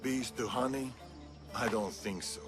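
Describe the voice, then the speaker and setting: A man's voice: mid-range voice, a little slow, over music, natural voice. An actor playing a serious older male assassin mentor who speaks in clipped instructions in a video game. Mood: intent. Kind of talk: video game cutscene acting.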